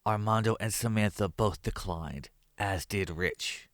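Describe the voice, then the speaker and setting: A man's voice: fairly low pitch, measured pace, noisy background. A man narrating a scripted horror story.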